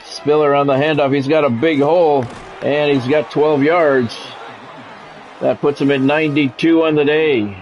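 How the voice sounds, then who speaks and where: Higher-voiced man calling a football video game. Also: steady tone, a little hiss or hum. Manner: measured broadcast-style calls, clipped phrases, occasional swearing. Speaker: video game streamer doing play-by-play. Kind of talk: sports play-by-play commentary with casual gamer asides.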